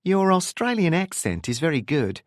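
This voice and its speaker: A man voicing a curious, persistent woman who probes gently in a narrated novel. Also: a little high, big pitch swings, normal speed, no room sound, clean studio sound, voice pushed up. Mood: serious, probing. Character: curious, persistent young woman, probing and smoking.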